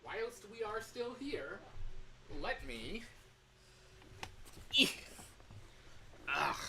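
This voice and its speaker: A man hosting a casual livestream, rambling in an animated way, exclaiming and often breaking off or repeating his words. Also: high-pitched, very slow, creaky, noisy background. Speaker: livestream host, game developer. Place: room at home.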